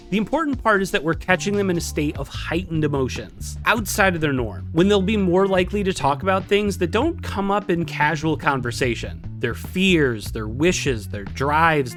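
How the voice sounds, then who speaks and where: A man's voice: on the high side, fairly bright tone. A man narrating a scripted video lesson as presenter, emphatic and informal with playful exaggeration. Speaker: video essay presenter teaching game writing. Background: background music.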